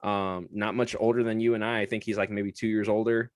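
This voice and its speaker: A man's voice: fairly low pitch, level tone, a little fast, no echo, clean studio sound. A young man co-hosting a casual sports podcast, chatty and laughing often as he talks. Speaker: podcast co-host.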